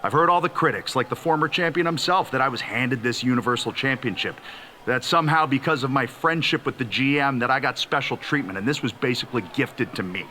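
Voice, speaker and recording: Forceful veteran hero wrestler played by a man. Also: medium pitch, fairly quick, slight background noise, lightly acted. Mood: earnest. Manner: earnest, forceful. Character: proud, determined veteran hero wrestler, new champion. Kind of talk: wrestling promo acting.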